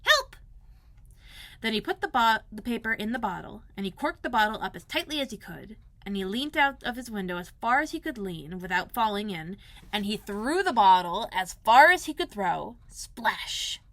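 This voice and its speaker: A woman reading a children's story aloud as a librarian, expressive, with dramatic emphasis and repetition. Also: mid-range voice, normal speed, no echo.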